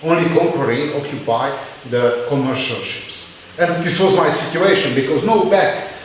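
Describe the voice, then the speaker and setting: A man lecturing in halting English with a Hungarian accent, with many fillers and restarts. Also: fairly high pitch, slight background noise. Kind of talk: university lecture. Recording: very echoey hall, mic far off.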